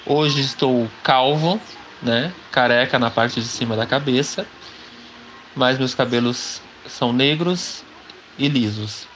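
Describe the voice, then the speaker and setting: Slow-talking Brazilian man in his forties. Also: mid-range voice, a bit bright. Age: 40s. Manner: chatty, often tags sentences with a questioning "né". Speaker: interviewee. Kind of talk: slow personal storytelling. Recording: slightly dull recording with faint background noise.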